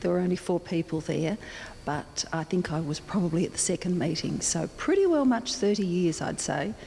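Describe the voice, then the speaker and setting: Low-pitched older Australian woman, echoey hall. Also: expressive, moderate pace, warm tone. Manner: hesitant, repeats words while phrasing. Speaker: support group president and interviewee. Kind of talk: unscripted interview answers. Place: large indoor gallery. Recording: echoey hall with clear background noise.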